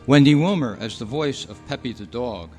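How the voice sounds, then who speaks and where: A man announcing in old-time radio style, reading scripted credits with formal, deliberate enunciation. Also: mid-range voice, expressive, a little mellow. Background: music.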